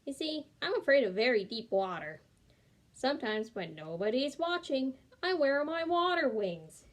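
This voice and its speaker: Timid little duck, confiding, high-ish voice, a woman reading it. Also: big pitch swings, moderate pace.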